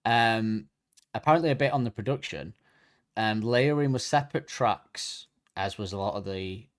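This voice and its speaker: Low-voiced man in a casual podcast chat. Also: moderate pace. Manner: chatty, reads facts from notes, corrects himself often. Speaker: podcast co-host.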